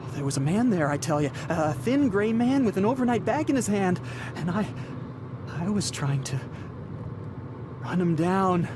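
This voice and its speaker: An actor playing a haunted, desperate young driver in a radio drama, earnest and halting, stammering when agitated. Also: male voice, sits high, very animated, background noise. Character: haunted, desperate young male cross-country driver, unravelling. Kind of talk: radio drama acting with first-person narration. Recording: music behind the voice. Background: dramatic music and car sounds.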